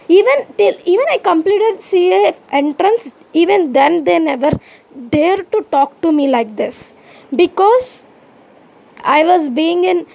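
A woman speaking in a high voice with a gravelly edge. She has an Indian English accent, and English is not her first language. She talks straight to her listeners in a personal podcast, venting indignantly and firing off rhetorical questions. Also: a little mellow. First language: Indian language other than Hindi. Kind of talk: personal monologue to the audience.

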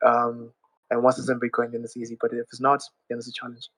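Flat-voiced man, dry sound. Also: mid-range voice, quick pace, no echo, poor audio. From Zimbabwe. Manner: earnest, explaining step by step. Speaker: audience speaker. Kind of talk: casual live audio chat.

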